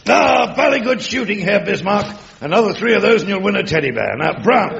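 A man's voice: high-pitched, sing-song, fairly quick, gravelly, crowd noise, full character voice. A man playing a blustering upper-class English prince with a plummy accent who cracks jokes. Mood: hearty. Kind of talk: broad sketch comedy with a live audience. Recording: lo-fi recording, loud audience and noise.

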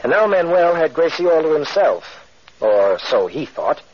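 Steady heroic captain, husky, firm, 1940s radio drama. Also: male voice, on the high side, normal speed. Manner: firm, matter-of-fact. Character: steady brave male adventurer captain. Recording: muffled old radio broadcast.

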